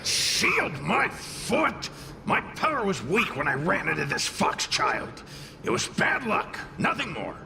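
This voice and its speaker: A man voicing a grumpy, boastful little male fire spirit in a video game, gravelly and scornful in a haughty, blustering way. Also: high-pitched, huge pitch swings, measured pace, background noise, full character voice. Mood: indignant. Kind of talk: video game character acting.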